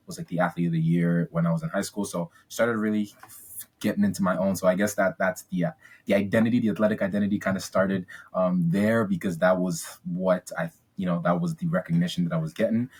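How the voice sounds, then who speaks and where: A young man from Ghana speaks in a fairly low voice. He talks as a podcast guest, chatty and upbeat, filling pauses with ums and restarting his sentences. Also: fairly even intonation, darkish tone, breathy edge. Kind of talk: casual interview storytelling.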